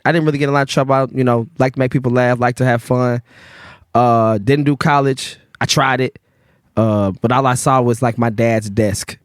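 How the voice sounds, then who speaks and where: A man's voice: mid-range voice, steady tone, fairly quick, clean tone, no room sound, clean studio sound. Laid-back man telling stories. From Memphis, Tennessee. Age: 30s. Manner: laid-back slangy storytelling with fillers. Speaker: guest bartender. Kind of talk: casual storytelling chat.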